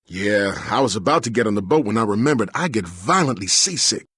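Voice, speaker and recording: A man's voice: lowish voice, lively intonation, a little fast, fairly bright tone, husky, no echo. A man voicing an easygoing, wry adventurer in a fantasy video game, with a casual, joking delivery. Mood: wry, friendly.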